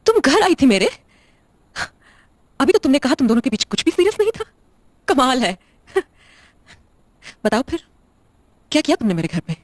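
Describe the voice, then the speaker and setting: A woman's voice: high voice, big pitch swings, normal speed, pauses often, dry recording. A woman playing a blunt, controlling widowed wife in an audio drama, speaking in a curt, sardonic, interrogating way. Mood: shocked, demanding. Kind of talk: Hindi audio drama acting.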